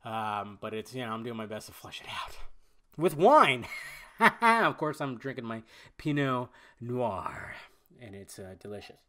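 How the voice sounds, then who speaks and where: A man's voice: medium pitch, very animated, unhurried, pushed and bright. A man hosting a podcast in loose, rambling casual chat, full of fillers and restarts, sounding nasal and stuffed up from a cold. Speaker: podcast host.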